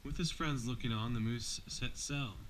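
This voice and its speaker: A man's voice: medium pitch, normal speed, a bit bright. A man reading a bedtime storybook aloud to his child, as a father does. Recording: noisy amateur home recording.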